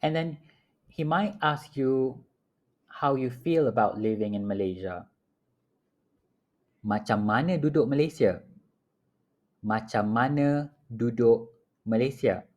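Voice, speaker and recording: A man leads a home language lesson at an unhurried crawl, pronouncing every phrase deliberately and repeating it. His voice sits at an ordinary middle pitch, calm and steady. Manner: repeats each phrase slowly and clearly for learners. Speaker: language teacher. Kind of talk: slow, repetitive phrase-by-phrase teaching. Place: home apartment.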